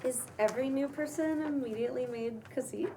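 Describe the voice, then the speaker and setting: A woman playing an anxious young newcomer who is eager to fit in, reading haltingly at a table read. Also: sits high, noisy background, lightly acted. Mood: awkward, hesitant. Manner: hesitant, halting. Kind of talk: table read of a pilot script.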